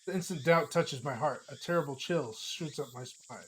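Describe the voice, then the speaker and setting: A hoarse man streaming, reading a visual novel aloud in a casual, chatty way with small slips. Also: on the high side, moderate pace, rough recording. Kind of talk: narrating a visual novel live.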